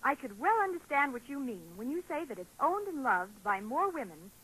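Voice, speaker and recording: Gushing, husky 1940s advert housewife. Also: female voice, high-pitched, sing-song, moderate pace, fairly bright tone, heavily acted, rough recording. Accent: American. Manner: gushing, eager. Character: enthusiastic American housewife in sponsor advert. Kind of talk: scripted 1940s radio commercial. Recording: vintage 1940s radio broadcast.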